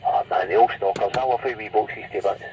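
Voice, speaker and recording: A man's voice: on the low side, a little fast, darkish tone. A Scottish man chatting casually over CB radio in a rambling way, often adding little tag questions. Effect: CB radio transmission. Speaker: CB radio hobbyist. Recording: muffled, distant, faintly noisy CB radio.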